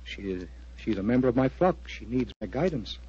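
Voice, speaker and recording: An actor playing an agitated, earnest English clergyman, speaking in a grave, halting, formal way in 1940s radio drama style. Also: medium pitch, animated, mellow, slightly rough. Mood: uneasy, formal. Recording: muffled old radio recording, dry sound.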